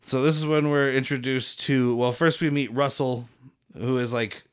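A man co-hosting a relaxed film podcast, recapping scenes in loose, profane chat. He breaks into laughter often and slips into mock voices for the characters. His voice sits at an ordinary middle pitch. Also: normal speed, clean tone. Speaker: podcast co-host. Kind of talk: casual movie recap chat.

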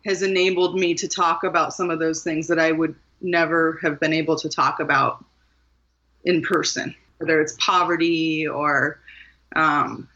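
Bright-voiced woman from Maryland. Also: sits low, little pitch movement, normal speed, highs cut off. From Maryland, USA. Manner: thoughtful, earnest, with small laughs and restarts. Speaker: guest author. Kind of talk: reflective interview conversation.

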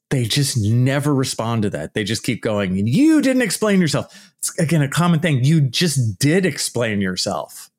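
Man, loud shouty delivery, exasperated vlog rant, mid-range voice. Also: medium pitch. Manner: loud, animated, exasperated ranting with laughs. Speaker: archaeology YouTuber. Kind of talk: casual vlog commentary.

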